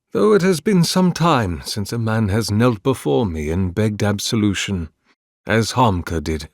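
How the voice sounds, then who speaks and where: A man's voice: fairly low pitch, breathy edge, no echo, clean studio sound, exaggerated voice. A man playing a devout, grandiose male oracle in a video game, speaking in a solemn, florid, sermonizing way as a zealous, mournful servant of a god. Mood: grandiose, reverent. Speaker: video game character voice actor. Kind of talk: theatrical scripted game dialogue.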